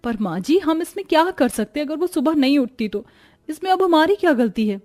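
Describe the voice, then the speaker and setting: Cartoon sister-in-law, high-voiced, sensible and dutiful, explains things earnestly. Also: female voice, animated, brisk, darkish tone. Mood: pleading. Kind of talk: Hindi cartoon story dialogue.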